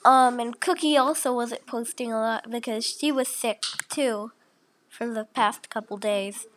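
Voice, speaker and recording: A woman's voice: a little high, fairly even intonation, measured pace, slight background noise. A young woman who is sick and sounds a little off, chatting casually with many fillers as she walks through a screen recording. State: sick, voice a bit off. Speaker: channel host.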